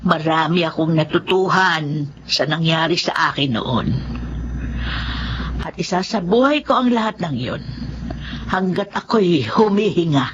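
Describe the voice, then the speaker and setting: A woman's voice: on the low side, expressive, noisy background. An actress playing a humble, pious, repentant older woman, a former vendor turned beggar, in earnest, pleading Tagalog radio drama style. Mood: reflective, earnest. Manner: pleading, earnest, slow and heartfelt. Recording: dull audio under prominent music.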